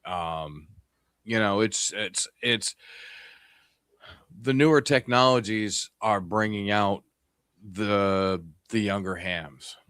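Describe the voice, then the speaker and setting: A man's voice: on the low side, slow, long pauses, a bit bright, smooth, no echo. Rambling fifties man, dry sound. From upstate New York. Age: 50s. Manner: rambling, many fillers and restarts. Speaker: ham radio stream host. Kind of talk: casual group chat on a livestream.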